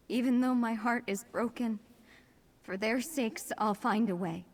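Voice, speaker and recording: A woman's voice: medium pitch, darkish tone, long reverb tail. An actress playing a vulnerable, grieving young woman in a fantasy video game, sorrowful and earnest.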